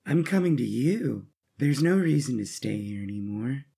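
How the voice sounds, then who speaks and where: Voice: medium pitch, expressive, moderate pace, vocal fry, mellow, husky. A man voicing a tough, determined young woman rebel leader in an audio drama, terse and resolute. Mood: calm, fond.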